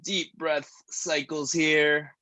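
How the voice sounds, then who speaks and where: A man in his thirties leading a stretch class, joking between calm breathing cues. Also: a little high, extremely slow. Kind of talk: guided stretching class with casual chat.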